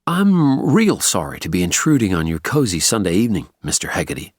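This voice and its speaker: A man's voice: low-pitched, wildly swooping pitch, a little fast, no room sound, clean studio sound, heavily acted. A man doing a courtly Southern heavy. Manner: courtly charm with a steely edge. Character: polite, menacing male Southern American heavy.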